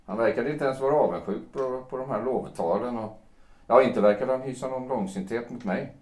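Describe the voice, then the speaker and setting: An actor playing a vain, world-weary, cynical playwright in a period audio drama, musing wryly with hesitant phrasing. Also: male voice, medium pitch, a little mellow, close to an everyday voice. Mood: softly courting. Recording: roomy echo, distant from the mic.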